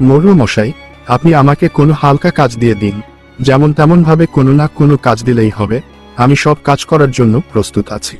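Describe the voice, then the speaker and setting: A man's voice: medium pitch, quick pace, faint music behind, close to an everyday voice. A man voicing a poor, weak husband seeking work in a cartoon story, speaking in a humble, earnest, pleading way.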